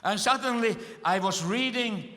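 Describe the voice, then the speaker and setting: High, bright-voiced male interpreter. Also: a little slow. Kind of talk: consecutive sermon interpretation. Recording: reverberant hall.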